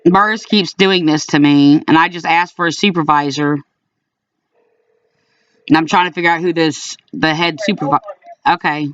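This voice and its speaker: A man speaking informally as an aggrieved caller, in a halting, repetitive way, recording complaints about calls. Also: a little high, steady tone, a bit bright, no echo, recorded from a distance, dull audio. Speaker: caller recording own phone calls to a police dispatcher. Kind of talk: informal complaint to camera and on phone.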